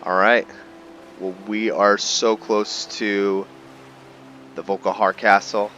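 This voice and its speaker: A man's voice: lowish voice, little pitch movement, takes it slowly, sharp tone, muffled recording. A man giving casual, laid-back video game commentary, musing aloud to himself as he plays. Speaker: gamer narrating own video game playthrough. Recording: faint background noise.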